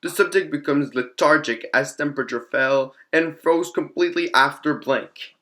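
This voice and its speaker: A man acts out a detached researcher recording a scripted audio log. The voice sits on the high side, and the delivery is formal and matter-of-fact, like clinical notes dictated for an experiment journal. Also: moderate pace, sharp tone.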